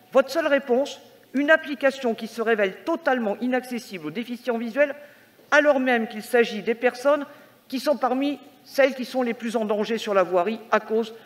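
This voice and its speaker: Woman councillor reading out a critical speech, pretty high voice, big reverby chamber. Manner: critical, accusatory, formal. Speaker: metropolitan councillor. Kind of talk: prepared political speech read out at a council meeting. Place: large indoor council chamber. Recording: reverberant council hall sound.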